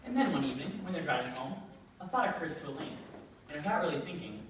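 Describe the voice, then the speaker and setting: A man's voice: on the high side, expressive, quick pace. A young man giving scripted comic narration on stage. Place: school chapel stage before an audience. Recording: very echoey hall, distant mic, faint noise.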